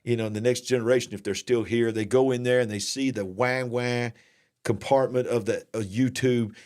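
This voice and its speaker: A man's voice: mid-range voice, fairly even intonation, moderate pace, darkish tone. A man with a Southern US accent hosting a live online show, talking in a casual, joking, folksy way. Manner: jokey, folksy, mocks fake accents. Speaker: host. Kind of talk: casual live-stream commentary.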